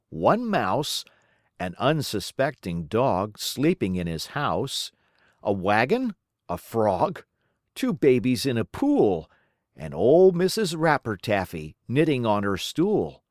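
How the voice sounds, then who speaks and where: Children's read-aloud narrator, female, theatrical and rhythmic, very unhurried, lively pitch swings, dry recording. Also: no echo. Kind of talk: expressive children's storybook narration.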